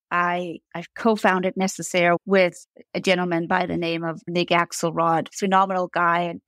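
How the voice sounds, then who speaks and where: A woman's voice: lowish voice, fairly bright tone, no echo. A woman from Denmark with a Danish accent, a company founder talking earnestly as a podcast guest and often repeating words as she starts a thought. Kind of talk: casual interview.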